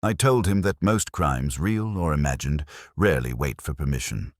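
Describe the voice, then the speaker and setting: A man narrating a scripted first-person detective story in a measured, brooding, literary manner. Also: very deep voice, expressive, dry recording, clean studio sound.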